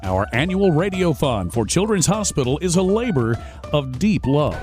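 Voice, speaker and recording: Male radio announcer, higher-pitched and lively, reading scripted promo narration over music. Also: moderate pace. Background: music bed behind the voice.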